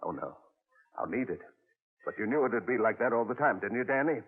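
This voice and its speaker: An actor playing a menacing crooked lawyer who kills, smooth and coldly polite, in a 1940s radio drama. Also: male voice, medium pitch, animated, fairly quick. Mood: sneering. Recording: tinny old radio broadcast.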